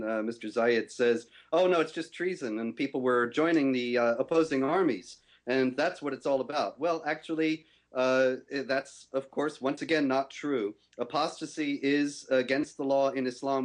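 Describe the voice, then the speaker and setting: A man giving a firm, measured rebuttal in a televised debate, often pausing on "uh". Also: mid-range voice, steady tone. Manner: measured, firm, many uh fillers. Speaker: debate guest.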